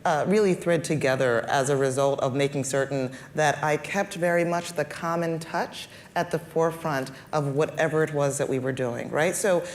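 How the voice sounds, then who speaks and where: A woman guest with a deeper voice answering on a panel, speaking in a deliberate, measured way with formal wording. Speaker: guest, healthcare executive.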